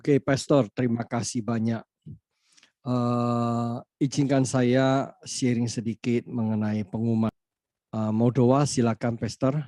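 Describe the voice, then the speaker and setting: A man's voice: mid-range voice, level tone, unhurried, mellow, no room sound. A man hosting an online church meeting over a video call, chatty and friendly, joking and laughing often. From Ambon. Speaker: host pastor.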